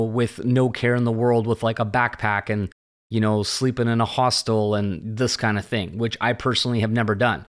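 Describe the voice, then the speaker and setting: A man in his late thirties giving a rambling, reflective solo monologue as a podcast host, often tagging his points with "right?". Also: mid-range voice. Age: late 30s. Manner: rambling and conversational, often tags "right?" and "this kind of thing".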